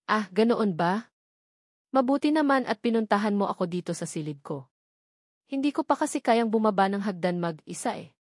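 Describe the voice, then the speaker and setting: A woman's voice: medium pitch, lively intonation, normal speed, no room sound, studio quality, close to an everyday voice. Narrator as guarded, polite young Filipino woman. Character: wary, polite young woman, heroine with sprained foot.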